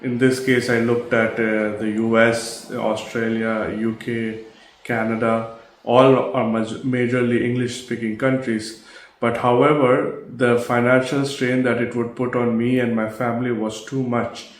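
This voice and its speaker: A man's voice: mid-range voice, little pitch movement, unhurried. Indian-accented man in an echoey room. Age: 30s. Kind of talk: personal story told to camera. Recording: echoey room with background noise.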